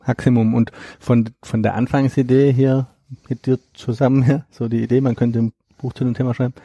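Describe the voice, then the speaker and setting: A man being interviewed about his book, talking in a relaxed, hesitant way with repeated words and fillers. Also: mid-range voice, brisk, breathy edge, no echo, muffled recording. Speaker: guest, author of a book on stuttering. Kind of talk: conversational interview.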